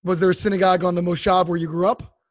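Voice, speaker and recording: A man hosting an interview, introducing his guest and asking measured, friendly questions. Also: high voice, flat delivery, no echo, clean studio sound. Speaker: host. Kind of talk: podcast interview.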